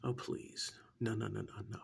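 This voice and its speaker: A man playing a coldly patronizing serial killer in a soft-spoken ASMR role-play, breathy and half-whispered, with condescending, deliberate delivery. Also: on the low side, flat delivery. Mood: mock soothing. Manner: slow, deliberate, condescending, drawn-out pauses. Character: menacing male serial killer, coldly patronizing to his captive.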